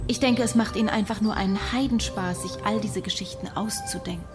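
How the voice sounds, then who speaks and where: An actress playing a skeptical, exasperated psychiatrist with a dry manner in a radio drama. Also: female voice, mid-range voice, a little fast, over music, natural voice. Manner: dry, sceptical. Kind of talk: German radio drama acting.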